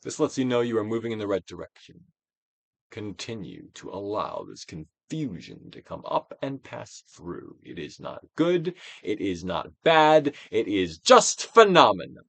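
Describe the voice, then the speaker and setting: A man's voice: lowish voice, wildly swooping pitch, vocal fry, a bit bright, dry recording. Theatrical man reading with dramatic, sweeping intonation. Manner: theatrical, deliberate delivery with swelling and falling emphasis. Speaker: narrator, audiobook reader. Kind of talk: dramatic reading of a self-help book, guided exercise.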